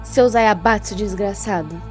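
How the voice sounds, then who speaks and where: A woman's voice: medium pitch, unhurried, a little mellow, natural voice. A woman playing a vengeful netrunner who speaks threateningly through a computer interface in a cyberpunk audio drama. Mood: bitter contempt. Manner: threatening, cold command. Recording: music behind the voice.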